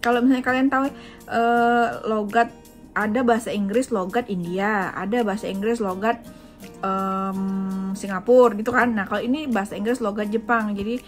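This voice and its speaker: A woman's voice: medium pitch, steady tone, normal speed, soft background music. A young woman from Indonesia, a vlogger chatting casually at home as she answers viewer questions, with frequent fillers. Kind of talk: casual chat. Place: home in Japan.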